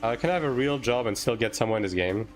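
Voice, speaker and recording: A man's voice: medium pitch, animated, rapid-fire, soft background music. A man from France, a native French speaker, chatting casually and informally on a game livestream as he answers viewers. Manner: informal, hedging, tags sentences with "right?". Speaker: game streamer.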